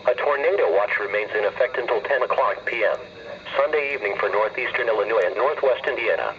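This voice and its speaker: A synthetic man's voice delivers formal weather warnings in a measured, scripted style. It comes through a muffled radio speaker with clear hiss and noise around it. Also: fairly low pitch. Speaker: automated weather radio announcer.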